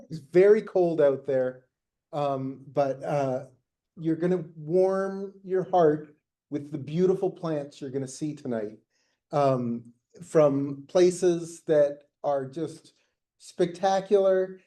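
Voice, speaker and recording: Loud-voiced man opening a talk. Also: high-pitched. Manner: loud speaking voice, friendly. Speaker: guest speaker. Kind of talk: opening a presentation.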